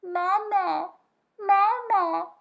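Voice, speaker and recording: A woman's voice: very high voice, very slow, vocal fry, muffled recording, voice pushed up, full character voice. A woman voicing a tiny, needy baby gosling that peeps and calls from inside its egg in a storybook reading. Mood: plaintive, calling. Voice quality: small, peeping. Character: tiny frightened unhatched gosling peeping from its egg.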